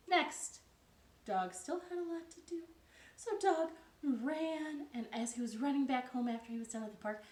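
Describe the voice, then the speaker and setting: Woman doing kids storytime, playful n expressive, takes her time, echoey room, bit far from mic. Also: a little high. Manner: expressive and playful, with drawn-out words and questions to listeners. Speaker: storytime host for young children. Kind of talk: animated picture-book read-aloud for children. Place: living room at home. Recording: roomy, distant mic with faint noise.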